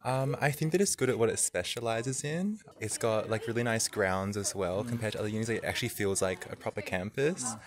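A man's voice: lowish voice, brisk, few pauses. A young man with an Australian accent, a university student answering questions in a library in an easygoing way. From Adelaide, Australia. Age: young adult. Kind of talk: interview answers. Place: indoors in a library.

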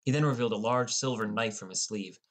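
Low-voiced man narrating an audiobook. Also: quick pace. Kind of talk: scripted first-person story narration.

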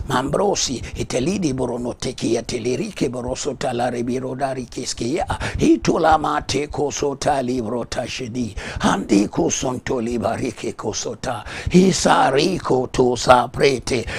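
A middle-aged male pastor whose first language is Yoruba delivers a fervent studio sermon on a lapel mic. His voice is gravelly and a little husky, and he switches between teaching and rapid, rhythmic streams of tongues. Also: mid-range voice. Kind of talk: televised morning devotional sermon with tongues. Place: TV studio set.

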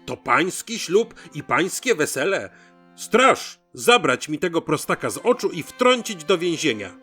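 A man's voice: a little high, very animated, measured pace, faint music behind, voice pushed up, full character voice. A man voicing an angry, commanding Polish nobleman who barks orders imperiously in a storybook tale. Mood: outraged. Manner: imperious, barking orders, shouting.

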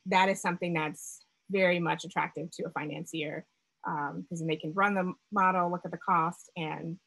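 A woman's voice: on the low side, fairly bright tone, low-quality recording. Woman founder presenting a seminar talk. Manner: measured, with frequent fillers and restarts.

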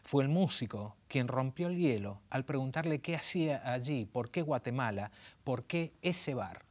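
A man in his forties with an Argentine Spanish accent, hosting and reading a story aloud in an intimate, theatrical way with slow, dramatic pauses. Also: mid-range voice, expressive, a little mellow. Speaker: host and narrator. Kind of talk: late-night story reading. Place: small dim studio set.

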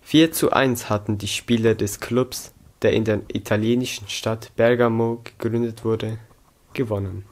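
A man's voice: fairly low pitch, fairly even intonation, normal speed. Teenage boy reading a story aloud. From Zug, Switzerland. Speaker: student reading own story. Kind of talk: scripted story narration, read aloud. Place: small indoor room against a plain wall.

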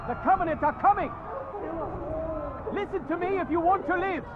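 An actor playing a desperate young man rallying a crowd, shouting with passion in a game audio drama. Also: very high voice, moderate pace. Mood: desperate, rousing. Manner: shouting, impassioned. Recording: muffled, tinny shouting amid noisy crowd.

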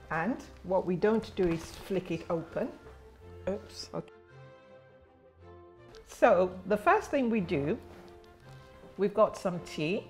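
A woman teaching afternoon tea etiquette in a precise, prim, instructive manner. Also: on the low side. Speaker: etiquette tutor. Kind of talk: polite instruction, gently teasing.

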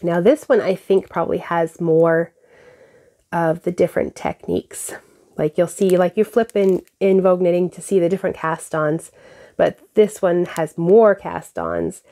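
A woman's voice: lowish voice, moderate pace. A woman in her thirties with a stuffed-up nose, chatting casually to camera as host of a knitting podcast, recorded on a lapel mic at home. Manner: relaxed, chatty, frequent fillers. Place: home craft room with bookshelves.

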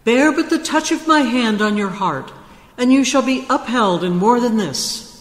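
An actor playing an ageless, childlike spirit in a radio play, formal and commanding. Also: male voice, extremely high pitch, expressive, normal speed, a bit bright. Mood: calm, stately. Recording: echoey, long hall-like reverb.

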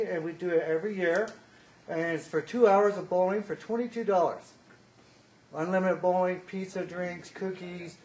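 A man giving a loud, upbeat committee report at a board meeting, with many fillers and pauses. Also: sits high. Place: large conference room. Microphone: table microphone. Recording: echoey room, some background noise.

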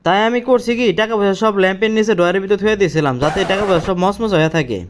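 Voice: mid-range voice, very fast, a little hiss or hum, lightly acted. Casting: hot-headed, cheeky young village schemer played by an actor, rural Bangladeshi accent, colloquial and argumentative, comic cartoon. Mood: chatty, boastful. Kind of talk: comic Bengali cartoon dialogue.

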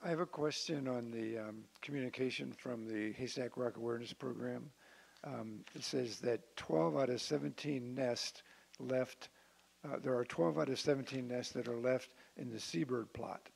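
A man speaking informally as a council member at a meeting, with a hesitant, halting delivery. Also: mid-range voice, creaky, a little mellow, husky. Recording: hissy meeting-room audio.

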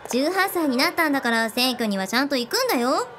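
A woman's voice: high-pitched, animated, fast, a bit bright, slight background noise. A woman playing an earnest young first-time voter who admonishes her friend in a radio drama.

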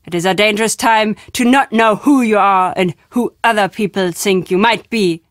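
A woman playing an enigmatic, foreboding traveling storyteller in an adventure game, stern and deliberate in her phrasing. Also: medium pitch, dry recording, studio quality, lightly acted. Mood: grave, insistent. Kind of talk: adventure game character acting.

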